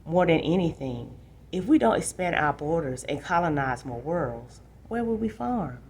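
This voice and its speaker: A woman's voice: low-pitched, sing-song, normal speed, a little mellow, a little hiss or hum. A woman reading a storybook as a determined young big sister, earnest and reassuring, a little defensive. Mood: earnest, firm.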